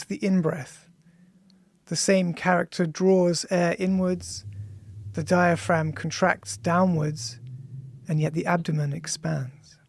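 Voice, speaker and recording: A man reading his own book as scripted audiobook narration in a studio, measured and contemplative, with deliberate pauses. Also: high-pitched, vocal fry, no echo. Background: music.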